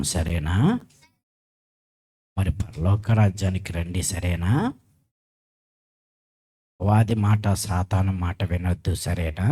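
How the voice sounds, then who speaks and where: A man playing a gentle, fatherly, forgiving Jesus, speaking solemnly in a children's church puppet show. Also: low-pitched, breathy edge, full character voice.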